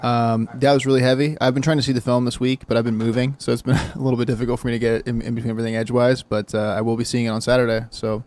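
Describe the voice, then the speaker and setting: A man appearing as a guest on a show, chatting in a relaxed way. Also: mid-range voice, racing, hardly any pauses. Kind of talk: casual chat.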